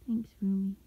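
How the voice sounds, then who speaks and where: A woman playing a sleepy, pouty young streamer roommate in an intimate ASMR roleplay, mumbling drowsily with drawn-out words and affection under the grumbling. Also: mid-range voice, husky, narrow, tinny audio. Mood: cozy, content. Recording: close recording with background rustling noise. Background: fabric rustling.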